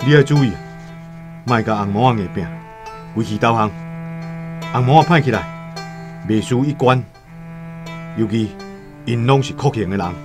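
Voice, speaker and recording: An actor playing a shrewd, self-serving Han trader, calculating and wary of trouble, with a Taiwanese accent in a radio drama. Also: male voice, medium pitch, moderate pace, long pauses, natural voice. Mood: warning. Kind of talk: radio drama dialogue and first-person storytelling. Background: music.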